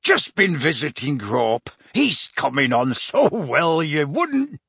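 Huge gruff gamekeeper, booming, read by a man. Dry, close sound. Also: sing-song, slow pace, a bit bright, no echo, heavily acted.

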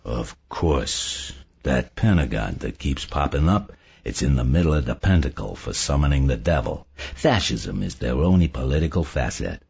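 A man voicing a gruff, thoughtful, skeptical male investigator in audiobook dialogue, murmuring in a gravelly voice on a dull lo-fi recording. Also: very deep voice, big pitch swings, normal speed, voice pushed down, exaggerated voice. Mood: musing, puzzled. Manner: soft, murmuring, musing.

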